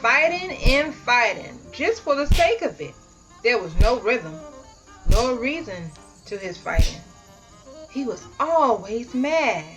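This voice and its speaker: Slow, high-voiced woman storyteller over music. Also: rough recording. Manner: expressive, dramatic, drawn-out emphasis on key words. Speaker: storyteller and host. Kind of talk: read-aloud children's picture book narration.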